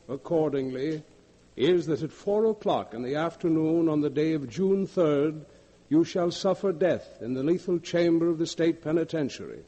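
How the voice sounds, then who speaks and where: A stern male judge pronounces with slow, formal gravity. His voice is fairly high and dark, in old radio drama style, ringing through a long hall reverb. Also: dull audio. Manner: solemn, formal, measured. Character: stern judge solemnly pronouncing a death sentence. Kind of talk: 1940s radio acting.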